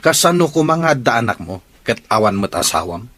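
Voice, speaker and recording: A man's voice: mid-range voice, very animated, brisk, a bit bright. An actor playing a stubborn father in an Ilocano radio drama, blunt and mocking. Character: stubborn, taunting father claiming his child.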